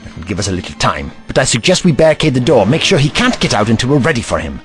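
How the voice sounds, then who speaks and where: Man to play theatrical alien doctor for audio drama: commanding, protective, hugely animated delivery, faint score behind. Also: medium pitch, fast talker, music in the background. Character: urgent, protective male alien doctor and investigator.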